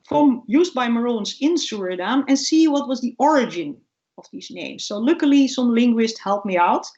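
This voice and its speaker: A woman, a guest researcher telling stories in an informal interview with animated, acted-out examples. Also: on the high side, moderate pace. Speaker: guest ethnobotanist. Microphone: video call. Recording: dull, lo-fi video call.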